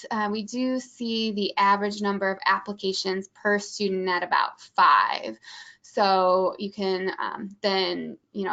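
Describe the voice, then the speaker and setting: Gravelly Midwestern woman presenting a webinar. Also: medium pitch, fairly bright tone. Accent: Midwestern American (Michigan). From Michigan, USA. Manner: informative, many ums and restarts. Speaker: admissions presenter. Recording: dull lo-fi webinar audio.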